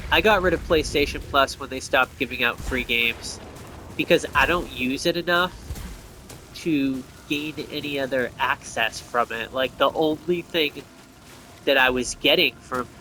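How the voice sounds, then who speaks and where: Bright-voiced man giving casual game commentary. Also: medium pitch, unhurried, slight background noise. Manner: thinking aloud, speculating. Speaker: gamer host of a gameplay video.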